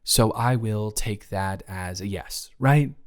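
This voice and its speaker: A man playing a nervous, smitten roommate in an improvised romantic roleplay audio, rambling and stammering in intimate one-sided talk. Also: fairly low pitch. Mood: flustered, adoring. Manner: stammering, rambling, giddy, halting. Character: nervous, smitten male roommate confessing love.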